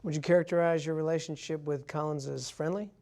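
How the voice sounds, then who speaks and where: A man's voice: on the high side, steady tone, fast, a little mellow, natural voice. An actor playing a probing male federal investigator, formal and businesslike, in a film. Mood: probing, official. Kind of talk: film interrogation acting.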